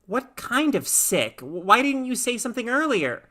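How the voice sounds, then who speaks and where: A woman voicing a harried, worried mother with a brisk, insistent manner in a story reading. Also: on the high side, sing-song, a bit bright, voice pushed up.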